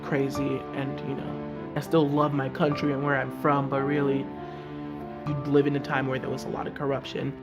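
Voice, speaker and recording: A sixteen-year-old student from Nigeria, chatting casually in a documentary interview. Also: male voice, medium pitch, monotone, normal speed. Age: teens. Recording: music underneath.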